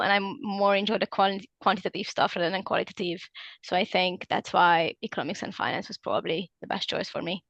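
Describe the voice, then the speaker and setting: A young woman answers questions in a relaxed, hesitant way. She fills pauses with ums and likes and often corrects herself mid-sentence. Her voice sits at an ordinary middle pitch, recorded close and dry with no room echo. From Hungary. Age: 20s. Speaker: alumna interviewee. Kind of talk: casual interview answers.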